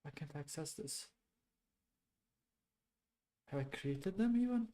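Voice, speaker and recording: Unhurried man talking himself through code, soft mellow voice, halting and mumbled. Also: on the high side, animated. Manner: slow, halting, muttering to himself. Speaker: programming streamer. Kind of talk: thinking aloud while debugging code.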